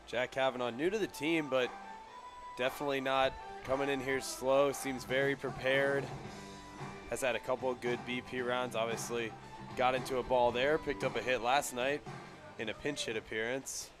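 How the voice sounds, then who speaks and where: An American man giving color commentary on a radio baseball broadcast in measured, separate phrases. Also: medium pitch, fairly even intonation, music in the background. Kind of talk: live radio sports analysis.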